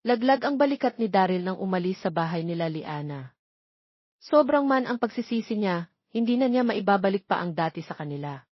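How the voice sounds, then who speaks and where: Casting: female drama narrator, mid-pitched scripted storytelling, very dry booth sound. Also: animated, a little fast, no room sound, dull audio.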